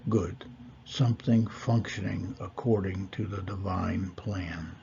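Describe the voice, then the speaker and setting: Male teacher, mellow and unhurried, measured delivery with pauses; husky timbre. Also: low-pitched, dull audio, slight background noise. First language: not Hebrew. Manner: measured, deliberate, with fillers and pauses. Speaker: Torah teacher. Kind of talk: slow lecture.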